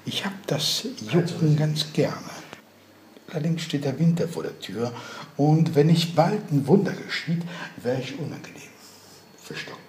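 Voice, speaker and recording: A man's voice: fairly high pitch, expressive, moderate pace, darkish tone, roomy sound, far from the mic, slight background noise, voice pushed up, natural voice. A man voicing a coquettish, impetuous and dreamy waif girl with a wheedling, theatrical manner in a literary reading. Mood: coy, dramatic.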